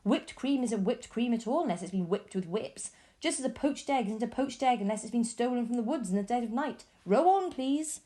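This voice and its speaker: Woman reading as a quirky chocolate maker man, high and bouncy, sing-song, theatrical, storybook voice. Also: expressive, brisk, few pauses, dark tone, close to an everyday voice. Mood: brisk. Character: eccentric excitable male chocolate maker. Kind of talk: storybook read-aloud.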